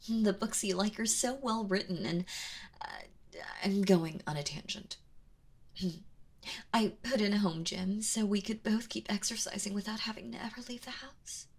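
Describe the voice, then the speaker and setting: A woman acting as a soft-spoken yandere woman with a breathy, slightly creaky voice. She is tender and anxiously possessive, pleading haltingly and slipping toward a whisper, as in an intimate ASMR roleplay. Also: medium pitch. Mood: eager, nervous. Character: kind, obsessive yandere woman, lovesick and anxiously possessive captor.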